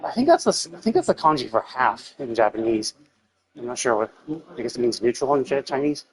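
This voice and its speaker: A man's voice: mid-range voice, very animated, brisk, poor audio. A man streaming a game live with casual commentary, thinking aloud tentatively and correcting himself as he goes.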